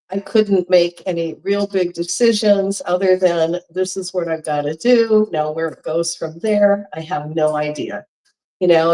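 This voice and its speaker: A woman's voice: on the low side, poor audio. Congested woman telling a travel story. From Hawaii. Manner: chatty, animated storytelling with frequent laughs. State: congested from a cold. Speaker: vlogger. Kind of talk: casual storytelling to camera.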